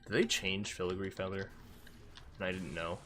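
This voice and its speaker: A man's voice: low-pitched, little pitch movement, moderate pace, pushed and bright, a little hiss or hum. A man with a stuffy, congested voice giving rambling, self-mocking commentary as he streams a game. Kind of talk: casual gameplay commentary.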